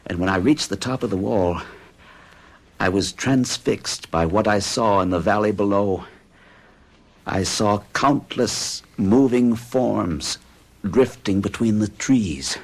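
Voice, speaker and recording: Haunted, husky, low-voiced frightened man. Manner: intense, halting, dramatic narration. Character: haunted, frightened man confessing to his doctor. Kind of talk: old-time radio horror acting.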